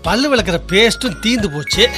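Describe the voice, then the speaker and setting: A man's voice: high-pitched, lively intonation, a little fast, music in the background. A man playing a timid husband and obedient son in a radio drama, hesitant, stammering and eager to placate. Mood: nervous, placating. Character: timid husband, obedient son who wavers before mother.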